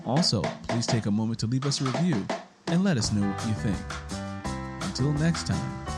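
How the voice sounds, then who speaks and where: A man's voice: medium pitch, lively intonation, moderate pace, loud music behind the voice. A man speaking as a podcast host in a conversational commentary style.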